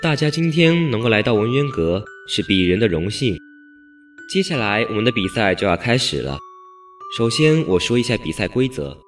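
An actor playing a gracious, humble gentleman host in a Chinese period audio drama, speaking ceremoniously. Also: fairly low pitch, big pitch swings, moderate pace. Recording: music behind voice.

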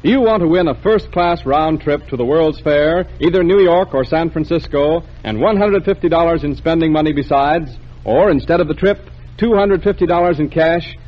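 A vintage radio announcer with a fairly high voice, brisk and polished. He comes through dull and lo-fi, like an old broadcast.

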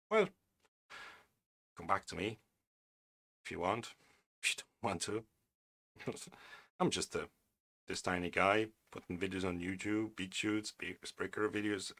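A man's voice: deep voice, bright, forceful tone. A man hosting his own podcast, reading a news article aloud and adding wry, opinionated asides with frequent restarts. English is not his first language. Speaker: podcast host.

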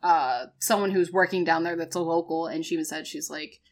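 A woman's voice: low-pitched, brisk. A woman with a New England accent, co-hosting a podcast and telling stories in casual chat, with lots of fillers. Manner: chatty, animated storytelling with many fillers. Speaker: podcast co-host.

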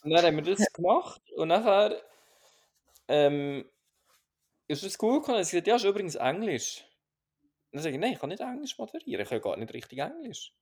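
Gravelly Swiss German man, calm. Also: high-pitched, brisk, pauses often. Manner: calm, searching, many restarts. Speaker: podcast co-host. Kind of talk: casual reflective chat.

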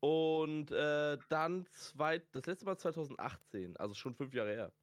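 A man's voice: fairly high pitch, measured pace, no echo. A man chatting casually and telling slangy anecdotes as a podcast guest. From northern Germany. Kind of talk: casual chat.

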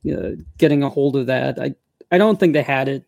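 A man in his forties from the United States West Coast, co-hosting a podcast and telling stories in relaxed casual chat. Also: medium pitch, brisk, vocal fry, no echo, clean studio sound. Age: 40s. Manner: relaxed storytelling, agreeable. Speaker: podcast co-host.

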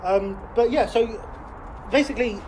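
A man's voice: extremely high pitch, moderate pace, noisy background. A man, co-hosting a casual podcast chat. He rambles, swears a lot and laughs often. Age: late 20s. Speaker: podcast co-host.

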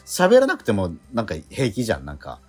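A man's voice: on the low side, brisk, breathy edge, soft background music. A man with a Kansai accent hosting a radio show, chatting casually about theater rehearsals and often nodding along and laughing. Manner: relaxed, frequent backchannel nods and laughter.

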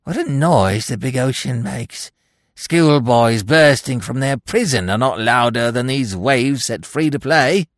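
A man voicing a misshapen, malevolent dwarf magician in a dramatic story reading, with theatrical, grandiose, mockingly genial delivery and a gravelly, creaky voice. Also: mid-range voice, lively intonation, no room sound, clean studio sound, voice pushed up, lightly acted. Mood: irritated, imperious. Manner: theatrical, drawn-out, archaic grandiose speech. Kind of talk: dramatic audiobook character reading.

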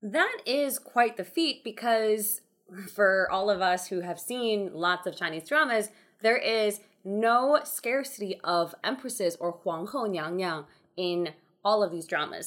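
A woman's voice: mid-range voice, lively intonation, unhurried, fairly bright tone. A woman co-hosting a podcast, recapping a drama and wrapping up the episode. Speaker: podcast co-host. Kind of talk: casual podcast chat.

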